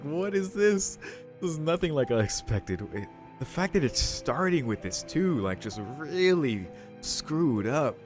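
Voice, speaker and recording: A man playing through a visual novel, reading its text aloud dramatically and reacting in casual, slangy speech. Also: mid-range voice. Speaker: video game streamer doing a playthrough. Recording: music behind the voice. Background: game music.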